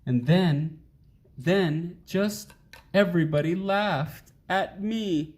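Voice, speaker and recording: A man voicing a wistful, self-deprecating uncool young bean in an expressive children's storybook reading. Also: sits high, takes it slowly, voice pushed up. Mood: earnest, hopeful. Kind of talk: children's picture book read aloud.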